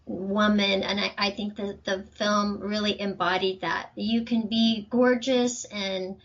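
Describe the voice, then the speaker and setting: A woman's voice: mid-range voice, level tone, a little slow. A woman chatting casually as a guest on a podcast. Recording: dull lo-fi audio.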